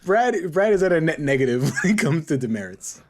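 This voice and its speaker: A man hosting a casual, joking podcast chat, who talks haltingly, repeats his words and chuckles as he goes. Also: a little high, very animated, a crowd in the background. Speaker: podcast host. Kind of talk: casual comedic panel chat.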